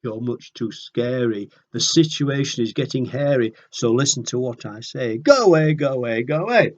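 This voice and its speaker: A cross, fussy female storybook bird, acted out by a man, loud and emphatic with big swoops of pitch. The rhymes come out as firm, huffy refusals, on a slightly muffled recording. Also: lively intonation, normal speed, close to an everyday voice. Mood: wary, cross. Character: grumpy female bird shooing everyone away crossly.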